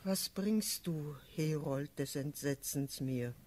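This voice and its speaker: Stricken Electress, very slow-spoken. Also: female voice, deep voice, darkish tone. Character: stricken, dignified Electress, a mother in shock. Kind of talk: German radio play of a classical verse drama.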